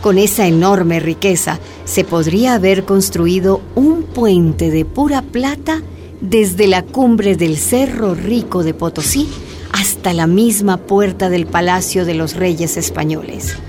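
A woman's voice: lowish voice, slow, warm tone, faint music behind. A woman narrating a radio drama in a scripted storytelling style.

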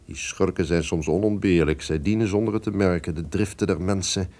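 Scheming, powerful duke for radio drama: deep-leaning voice, menacing authority, stately archaic delivery. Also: male voice, a little fast, a little mellow. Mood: scornful, brooding. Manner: declamatory, archaic formal diction. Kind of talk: theatrical Dutch radio drama acting.

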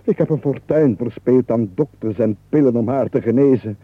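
An actor with a Flemish accent playing an exasperated rich nobleman, husky and melodramatic, in a radio comedy. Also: medium pitch, moderate pace, dry recording, close to an everyday voice. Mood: bitter, aggrieved. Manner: melodramatic, explosive outbursts dropping to confiding. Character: exasperated rich nobleman, driven frantic by chatter. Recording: muffled, phone-like old radio recording.

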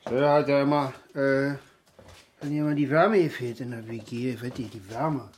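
An actor playing a stubborn, frail older man with a Berlin accent, rambling and repeating himself haltingly in a radio drama. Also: mid-range voice, steady tone, a little mellow, close to an everyday voice. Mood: wistful, halting. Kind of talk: naturalistic radio drama dialogue.

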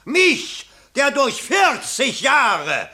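A bombastic, excitable political candidate. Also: male voice, extremely high pitch, drawn-out words, heavily acted. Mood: impassioned, pleading. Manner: declamatory, oratorical. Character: excitable, bombastic male parliamentary candidate addressing voters. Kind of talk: 1960s German radio play acting.

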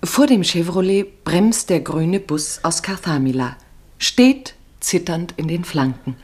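Low-voiced woman narrating a radio play. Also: animated, a little slow, darkish tone. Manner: terse, present-tense storytelling.

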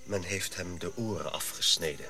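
An actor playing a dutiful, questioning Dutch colonial officer, earnest and precise, in a radio drama. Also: male voice, low voice, moderate pace, over loud music. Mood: grave.

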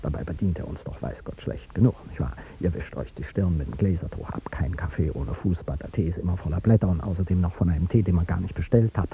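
An actor playing an ageing, courtly president in a 1950s radio drama, rhetorical and wistful, with a husky, creaky, dark voice. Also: male voice, sits low, fast, few pauses, a little hiss or hum. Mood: wistful, musing. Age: older. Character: ageing male president, courteous, wistful, grandiloquent café guest. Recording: muffled old 1950s radio recording.

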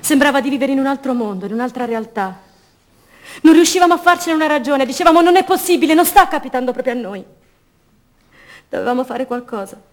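A woman's voice: high-pitched, animated, fast. An actress playing an anguished, defiant young woman held prisoner, in an intimate, bitterly ironic radio drama monologue. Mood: anguished resolve.

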